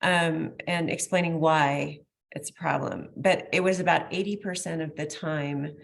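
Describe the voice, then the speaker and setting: A woman's voice: low-pitched, steady tone, moderate pace, vocal fry. A middle-aged woman giving feedback as a judge in a conversational, hedging way over a video call. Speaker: competition judge. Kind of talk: spoken feedback to students. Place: indoor room at home, on a video call. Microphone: video call microphone. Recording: dull video-call audio.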